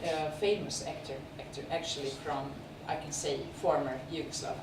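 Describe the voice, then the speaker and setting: A woman with a Serbian accent hosting a film festival, speaking unscripted and haltingly as she searches for words. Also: low-pitched, steady tone. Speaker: film festival host introducing films and guests. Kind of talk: unscripted spoken introduction and interview. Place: echoey screening venue. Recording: echoey, noisy room, far from mic.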